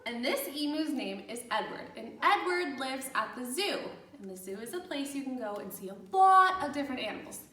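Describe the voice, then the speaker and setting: A woman's voice: sits high, big pitch swings, brisk, few pauses, sharp tone. A woman reading a picture book aloud to young children as a teacher, expressively, explaining as she goes and gasping for effect. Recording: echoey room, distant microphone.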